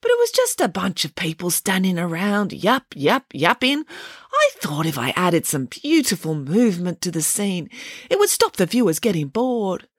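A sensitive young bear who wails and drags out his words in high, trembling tones, voiced by a woman reading aloud. His pitch swings wildly as he complains. The sound is close and dry. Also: wildly swooping pitch, no echo. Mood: dramatic. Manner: weepy, melodramatic, drawn-out. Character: emotional, theatrical young male bear actor.

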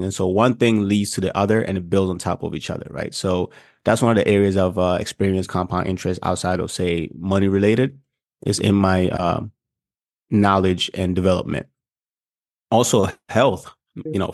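A man's voice: low voice, gravelly, darkish tone, no echo, studio quality. A young man from Ghana, co-hosting a podcast, talking in an earnest, reflective way in casual chat. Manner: earnest, reflective, loosely structured. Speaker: podcast co-host.

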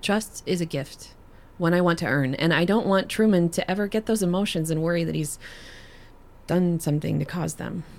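An actress playing a gentle, earnest survivor in a fiction podcast, speaking in a measured way. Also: female voice, on the low side, creaky. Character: gentle, earnest telepathic woman, kind and cautious survivor. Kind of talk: scripted fiction podcast acting.

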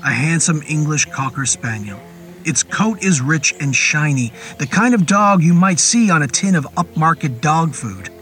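A man narrating a scripted horror story in a measured, descriptive storytelling manner. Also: a little high, lively intonation, a bit bright. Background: music under the voice.

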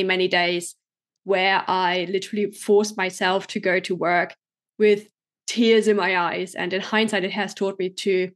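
A woman in her thirties from Germany with a slight German accent touched by British English, hosting a podcast and telling her story in an upbeat, chatty way. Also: medium pitch. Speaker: podcast host and coach. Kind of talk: casual solo podcast storytelling.

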